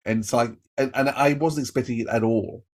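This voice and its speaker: A man co-hosting a podcast, giving a casual, enthusiastic review in a halting, effusive way. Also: medium pitch, steady tone.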